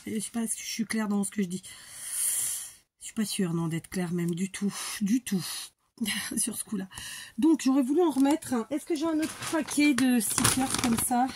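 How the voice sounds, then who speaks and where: A woman's voice: a little high, normal speed, a little mellow, slight background noise. A middle-aged woman from the Paris region, chatting casually and rambling through a crafting tutorial. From Paris region, France. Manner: chatty and rambling, many fillers and restarts.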